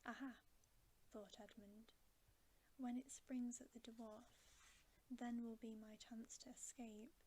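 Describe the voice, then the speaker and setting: A woman whispering a bedtime story in ASMR style, breathy, gentle and hushed. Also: a little high, level tone, slow pace, pauses often, rough recording. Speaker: ASMR reader.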